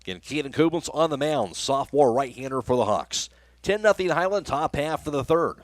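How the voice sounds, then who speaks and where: A man's voice: on the high side, expressive, fairly quick, dry recording. A man working as a radio play-by-play announcer, calling baseball and reading sponsor spots. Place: live radio broadcast from a ballgame.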